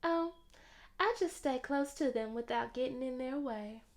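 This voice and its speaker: Sweet high-voiced young nanny played by a woman. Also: unhurried.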